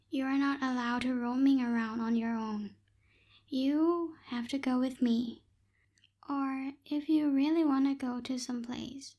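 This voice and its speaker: Slow, high-voiced young witch played by a woman. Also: darkish tone. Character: young tsundere witch, bossy but secretly caring. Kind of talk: ASMR roleplay voice acting. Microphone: close binaural microphone.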